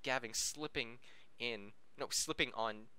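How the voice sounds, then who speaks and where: A man's voice: mid-range voice, a little slow, bright, forceful tone, smooth. A man reading a story aloud as an amateur podcast host, giggly and tripping over words. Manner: stumbles over the text, giggly.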